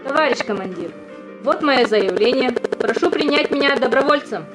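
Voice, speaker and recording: A woman's voice: high voice, animated, moderate pace. An actress playing a determined young woman volunteering for the front, earnest and insistent, in a theatrical audio drama. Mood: pleading, determined. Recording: music under the voice.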